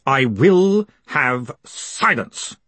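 A man voicing a calm, quietly commanding ruler with measured, formal, icily polite speech in an audiobook. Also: mid-range voice, breathy edge, no echo, pitched above the performer's own voice. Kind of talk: audiobook character acting.

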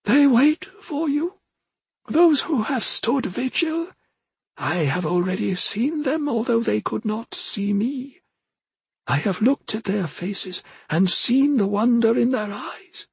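Real high strained old ghost mentor, stern n slow, husky, storybook style. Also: male voice, expressive, no echo, full character voice. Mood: urging. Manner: slow, drawn-out, archaic formal phrasing. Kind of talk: audiobook character acting.

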